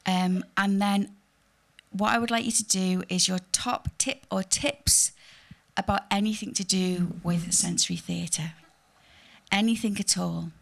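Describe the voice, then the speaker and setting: A woman's voice: medium pitch, steady tone, a little slow, vocal fry. Chatty fifty-year-old woman hosting a panel. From Liverpool, England. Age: 50s. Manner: chatty, self-mocking, lots of fillers. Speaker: panel host. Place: theatre symposium panel.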